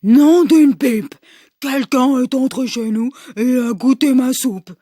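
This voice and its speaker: A woman voicing a big father bear from a children's storybook, with a gruff, growling, scolding voice. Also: high-pitched, takes it slowly, dark tone, dry recording, studio quality. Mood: indignant, roaring.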